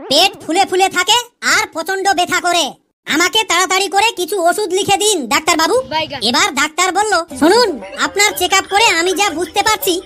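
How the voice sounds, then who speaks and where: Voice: extremely high pitch, pushed and bright. A performer voicing a cheeky cartoon schoolboy who tells stories to a teacher in a childlike, declamatory way. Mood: mock-aggrieved. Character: cheeky schoolboy pupil telling husband-and-wife jokes to teacher. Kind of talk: Bengali comedy cartoon voice acting.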